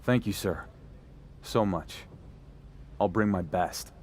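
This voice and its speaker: Young wrestler, fairly low voice, laid-back, wry, game cutscene, faint background noise. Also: male voice, slow, a little mellow. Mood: eager. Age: young adult. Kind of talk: scripted game cutscene dialogue.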